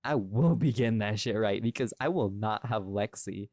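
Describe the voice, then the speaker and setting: A man's voice: on the low side, normal speed, a little mellow, no echo, studio quality. A man co-hosting a casual, chatty podcast discussion, speaking emphatically and repeating phrases for stress. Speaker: audio series creator and podcast co-host.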